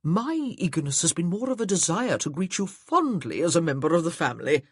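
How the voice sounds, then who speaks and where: A narrator voicing a hearty, rambling, nearsighted older gentleman in a period audiobook. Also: big pitch swings, fairly quick, slightly rough, no echo, studio quality, heavily acted. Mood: jovial. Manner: jovial, booming, rambling. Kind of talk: audiobook character voice.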